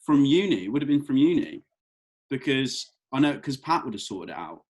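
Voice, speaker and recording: A man's voice: medium pitch, big pitch swings, a little fast, darkish tone. A young man chatting casually as a team member in a production meeting.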